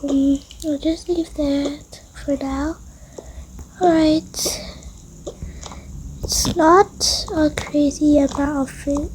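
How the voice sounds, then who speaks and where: A young-sounding female creator softly narrating an improvised toy-game roleplay, often dropping into whispers. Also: high voice, fairly even intonation, very slow, pauses often, a little hiss or hum.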